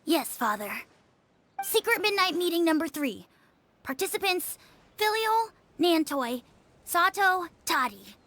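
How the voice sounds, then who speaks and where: A woman's voice: very high voice, sing-song, measured pace, bright, forceful tone, a little hiss or hum, full character voice. A woman voicing an earnest young girl informant who reports on her housemates in a game. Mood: accusing. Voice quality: matter-of-fact, tattling. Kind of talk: game voice acting.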